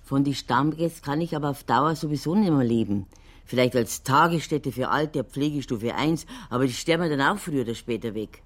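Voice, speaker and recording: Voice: mid-range voice, fairly quick, close to an everyday voice. An actor playing a pragmatic, stubbornly enterprising pub keeper in a radio drama, colloquial, breezy and insistent. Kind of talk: naturalistic radio drama acting. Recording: music playing behind the voice. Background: background music in the scene.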